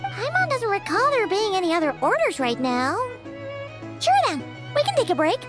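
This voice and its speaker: Casting: tiny, chatty game fairy sidekick, extremely high squeaky voice, swooping and perky, music behind. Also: female voice, very animated, moderate pace. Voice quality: squeaky, childlike. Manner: refers to herself in third person, perky. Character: small childlike chatty fairy companion, eager and curious. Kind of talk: video game character acting.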